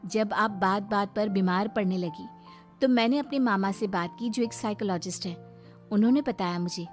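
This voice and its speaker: A woman voicing a caring young daughter-in-law in a cartoon moral story, polite, patient and clear in her reasoning. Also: mid-range voice, moderate pace, faint music behind, lightly acted. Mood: calm, explaining. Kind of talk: Hindi animated moral story acting.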